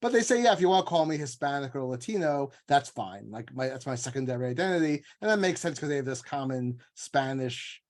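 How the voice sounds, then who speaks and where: A man's voice: mid-range voice, expressive, a little fast, hardly any pauses. A man speaking as an author and scholar in an informal panel discussion, explaining in a discursive, emphatic way and often acting out imagined quotes. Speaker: author and legal scholar guest.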